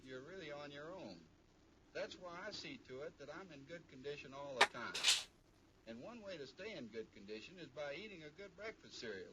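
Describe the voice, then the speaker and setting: A male test pilot reading a 1950s radio endorsement, bright and fairly high in voice with lively intonation. The old recording is dull and a little noisy. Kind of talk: scripted 1950s radio testimonial.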